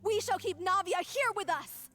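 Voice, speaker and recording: A woman's voice: extremely high pitch, normal speed, a crowd in the background. A woman voicing an indignant accuser from a vindictive mob in a video game, haughty and declamatory. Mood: indignant, menacing.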